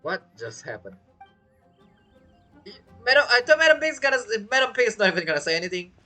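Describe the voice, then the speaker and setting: A woman's voice: fairly high pitch, very animated, quick pace, pushed and bright, soft background music. A woman giving casual, playful commentary on a video game as she plays, reacting aloud to the characters. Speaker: game streamer.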